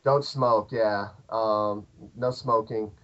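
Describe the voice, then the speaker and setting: Rambling southern Illinois musician, muffled. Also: male voice, on the low side, steady tone, takes it slowly, fairly bright tone. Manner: rambling, lots of fillers and restarts. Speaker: podcast guest, musician. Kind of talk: casual interview chat. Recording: dull lo-fi podcast audio.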